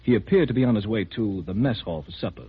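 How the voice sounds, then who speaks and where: Guarded army major, swooping low voice. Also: male voice, expressive, quick pace, husky. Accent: American. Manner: clipped, official, probing. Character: brisk, guarded army major interrogating a visitor. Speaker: military security officer. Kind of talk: 1950s radio drama acting. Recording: muffled, hissy old radio recording.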